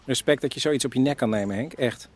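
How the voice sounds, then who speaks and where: An actor playing an awkward, tactless, matter-of-fact radio show colleague in a radio comedy. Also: male voice, mid-range voice, quick pace, natural voice. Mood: guileless teasing.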